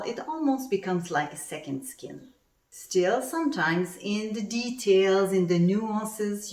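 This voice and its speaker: Woman coach, lowish voice, teaching through personal stories, mic set back in a roomy space. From Canada. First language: French. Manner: coaching tone, tells personal stories, poses questions to the audience. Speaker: communication coach. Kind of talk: online conference presentation. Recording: roomy sound from a distant mic.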